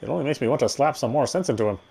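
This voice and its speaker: A man's voice: mid-range voice, animated, racing, creaky, dark tone, slightly rough. A man reading visual novel narration aloud, expressively, with occasional stumbles over words. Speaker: game streamer. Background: game music.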